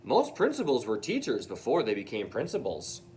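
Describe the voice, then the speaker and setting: A man reads as a kindly male principal in a children's storybook. He explains things in a measured, reassuring way, with a very lively rise and fall at a middle pitch. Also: slight background noise. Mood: explaining. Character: calm, kindly male school principal with a mustache.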